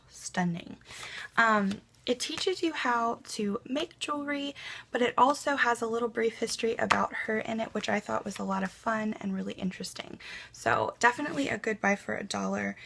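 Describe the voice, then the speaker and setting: A young woman chatting casually to camera in her bedroom about a beauty haul, a little hoarse from a cold, with lots of ums and likes. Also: fairly high pitch. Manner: chatty, many ums and likes, soft asides. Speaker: beauty vlogger. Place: bedroom at home.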